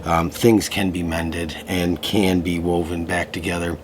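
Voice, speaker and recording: A man giving a casual tarot reading to camera, slightly hoarse from a lingering cough, talking in a loose way with many fillers. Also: low voice, unhurried, background noise. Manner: relaxed, loose, full of fillers and restarts. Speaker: tarot reader. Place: room at home.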